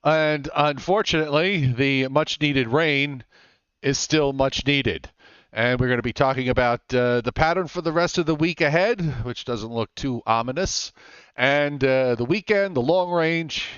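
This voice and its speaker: Chatty guy hosting a weather show, smooth voice, dry muffled sound, lots of uhs. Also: on the high side, expressive, moderate pace, fairly bright tone, no room sound. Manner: chatty, teasing, frequent fillers. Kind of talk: casual live weather talk.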